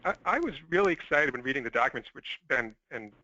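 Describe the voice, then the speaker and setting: A man's voice: mid-range voice, animated, fast talker, bright, forceful tone, no echo. A man giving his opinion informally and tentatively as a participant on a conference call. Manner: tentative, qualifying. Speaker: call participant, recruiting group organizer. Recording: dull conference call line.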